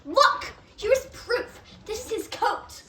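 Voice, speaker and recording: A woman acting a very high-pitched flirtatious palace wife. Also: lively intonation, takes it slowly, exaggerated voice. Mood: accusing. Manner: coy, giggling, overdramatic wheedling. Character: flirtatious, scheming married woman of the palace, demanding and lying. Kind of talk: amateur reader's theatre performance. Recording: roomy, distant, noisy recording.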